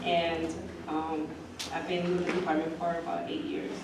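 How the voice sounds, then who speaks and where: A woman's voice: deep voice, monotone, normal speed. A woman from Los Angeles, a police officer, giving an unscripted answer on a panel. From Los Angeles, California. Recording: echoey hall, distant mic, background noise.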